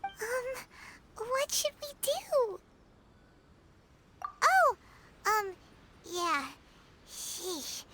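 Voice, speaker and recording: A woman voicing a small, anxious, childlike companion creature in a video game, with a squeaky, hesitant, cartoonish delivery. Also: very animated, extremely slow, pauses often, bright, forceful tone, a little hiss or hum, heavily acted. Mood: worried. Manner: hesitant, exclamatory.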